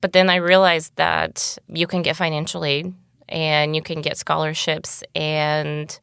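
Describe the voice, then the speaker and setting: A woman's voice: low-pitched, little pitch movement, unhurried, vocal fry, a bit bright, clean tone, no echo, studio quality. A young woman from Bulgaria, a native Bulgarian speaker, telling her story candidly as a podcast guest. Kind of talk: casual interview talk.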